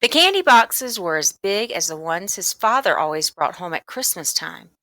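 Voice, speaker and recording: A woman narrating a children's book aloud, expressively and with deliberate emphasis on key words. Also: lowish voice, very animated, pushed and bright, dry recording. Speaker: storybook reader.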